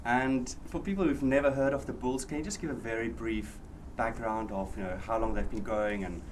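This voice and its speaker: A man's voice: mid-range voice, fairly quick, background noise. A man hosting an onstage interview at an event, asking questions in an effusive, enthusiastic way.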